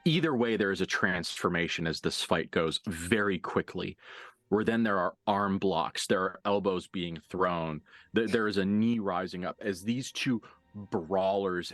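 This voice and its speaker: A man narrating a tabletop horror game as game master, dramatically and deliberately, with pauses for emphasis. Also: deep voice, fairly bright tone, dry recording, faint music behind.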